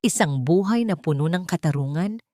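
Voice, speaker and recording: A woman with a Filipino accent reading a scripted love story in a measured, sentimental, dramatic narration style. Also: fairly low pitch, lively intonation, dark tone, no echo, studio quality. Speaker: narrator of Tagalog love stories.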